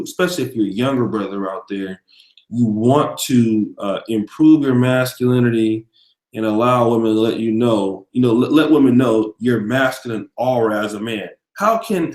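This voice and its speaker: A man hosting a casual video interview, asking long questions with many pauses and fillers. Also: mid-range voice, expressive, a little mellow, reverberant, rough recording. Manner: thanks viewers mid-question, hesitant fillers. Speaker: host.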